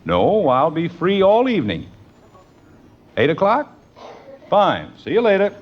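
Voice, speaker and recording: A man's voice: high-pitched, wildly swooping pitch, a little slow, full character voice. An American man playing a wry, put-upon middle-aged father in a vintage radio sitcom, with a dry, sarcastic manner. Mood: irritated. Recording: muffled, hissy old radio recording.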